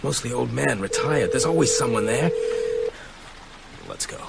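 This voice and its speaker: An actor in an audio drama playing a determined, jittery man hunting vampires, urgent and halting as he thinks aloud. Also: mid-range voice, animated, vocal fry. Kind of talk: broadcast audio drama acting. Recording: loud sound-effect noise behind voice.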